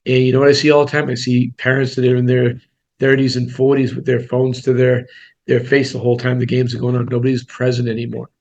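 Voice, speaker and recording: A gravelly-voiced man in his sixties leads a real estate coaching webinar. He talks bluntly and casually, throwing in self-deprecating jokes. His delivery stays flat, with little rise and fall. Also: mid-range voice, quick pace, muffled recording. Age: 60s. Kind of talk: webinar presentation.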